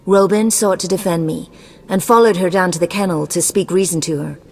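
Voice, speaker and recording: A woman playing a haughty, scheming sorceress in a fantasy video game, speaking in a cool, measured, imperious way. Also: lowish voice, steady tone, faint music behind. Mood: cool, evasive. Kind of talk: video game dialogue acting. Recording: long echoing hall reverb.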